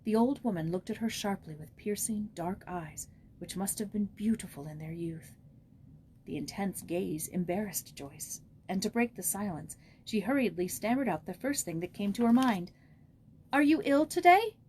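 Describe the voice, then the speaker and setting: A woman narrates a storybook in a mellow, mid-pitched voice. She reads from a script as an audiobook narrator, with some background noise on the recording. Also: moderate pace.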